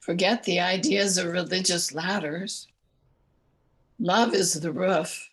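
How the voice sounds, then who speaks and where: A woman with a raspy voice reading a poem aloud slowly and softly over a video call. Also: fairly low pitch, steady tone. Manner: soft, slow, deliberate pauses. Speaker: poetry reader.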